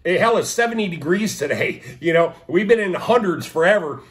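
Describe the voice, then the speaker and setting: A man's voice: high voice, animated, brisk. A man in his sixties with a sniffly, stuffed-up nose, rambling casually to his viewers with many fillers and restarts. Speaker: vlogger. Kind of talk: casual talk to camera.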